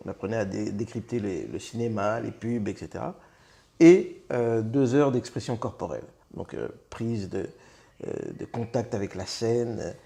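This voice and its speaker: A Belgian man in his thirties, a comedian guest in a casual interview, speaking with many pauses and fillers and a self-mocking humor. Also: mid-range voice, gravelly, dark tone. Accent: Belgian French.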